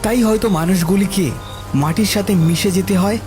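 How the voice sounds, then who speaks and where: A man's voice: sits high, moderate pace, music in the background. A man narrating a scripted horror story in the first person.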